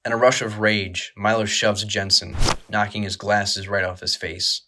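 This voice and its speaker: A man narrating a horror audio drama with measured, dramatic, scripted storytelling. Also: on the low side, little pitch movement, noisy background.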